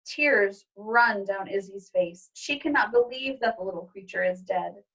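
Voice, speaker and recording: Gentle woman reading a story aloud. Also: mid-range voice, unhurried, distant mic, highs cut off.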